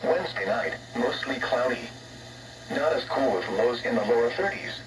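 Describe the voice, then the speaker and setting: A medium-pitched male automated announcer reads a forecast in clipped, scripted phrases. The voice comes raspy out of a small radio speaker, buried in very heavy noise. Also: lively intonation, normal speed. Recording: very noisy weather radio speaker playback.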